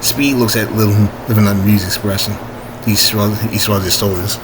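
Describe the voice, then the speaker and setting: Low-voiced man reading with steady background noise. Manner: halting, often rereading and correcting himself. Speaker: amateur author reading own story. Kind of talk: informal story reading with asides.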